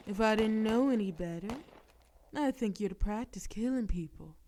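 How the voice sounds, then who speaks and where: A woman's voice: medium pitch, moderate pace, mellow, slight background noise. A woman voicing a bold, flirtatious female mafia boss in an audio roleplay. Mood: focused. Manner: teasing, flirtatious. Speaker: voice actress.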